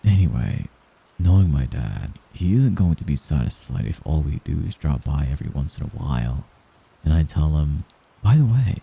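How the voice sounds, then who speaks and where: Man acting very deep, murmuring doting mafia heir. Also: gravelly, husky, full character voice, poor audio. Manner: soft-spoken, halting, apologetic while giving orders. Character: young mafia heir, gentle, hesitant, doting, possessive friend. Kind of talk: intimate ASMR roleplay.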